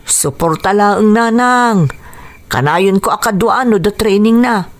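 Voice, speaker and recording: A woman's voice: medium pitch, unhurried, close to an everyday voice. A woman playing a spirited, supportive young friend of a boxer in a radio drama, earnest and encouraging. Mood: admiring.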